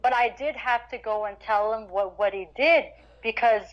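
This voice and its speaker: Chatty woman singer, slightly high voice. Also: moderate pace, rough recording. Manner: chatty, frequent restarts and stammers. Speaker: guest singer-guitarist. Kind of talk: casual interview chat.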